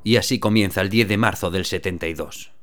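A man narrating a radio drama in the first person, telling the story in a steady, even way. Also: deep voice, a little fast, over music.

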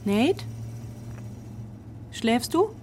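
A woman's voice: on the high side, very animated, very slow, a little mellow, exaggerated voice. An actress playing a probing, concerned young woman in a radio drama, with a direct, questioning manner. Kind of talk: naturalistic radio drama acting.

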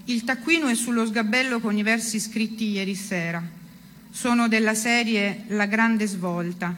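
A woman's voice: on the high side, a little slow, fairly bright tone, slight background noise. A woman giving a dramatic literary reading of first-person prose. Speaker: reader of a literary text.

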